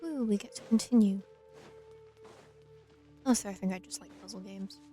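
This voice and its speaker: A woman's voice: medium pitch, moderate pace. A woman giving casual, wry gameplay commentary as she plays a video game. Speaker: let's play streamer. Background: video game music.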